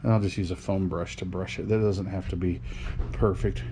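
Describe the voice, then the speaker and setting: A middle-aged man casually talking through a DIY job to camera in a folksy, unhurried, step-by-step way. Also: low voice, fairly even intonation, noisy background. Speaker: DIY radio hobbyist and channel host. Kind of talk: casual workshop explanation to camera.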